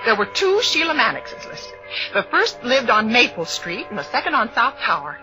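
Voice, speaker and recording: An actress playing a fussy, anxious middle-aged spinster, precise and indignant, in 1940s radio suspense style. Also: female voice, fairly high pitch, big pitch swings, normal speed, pushed and bright. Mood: anxious, confiding. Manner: precise, indignant, fretful, breaking into cries. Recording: muffled old radio broadcast with music.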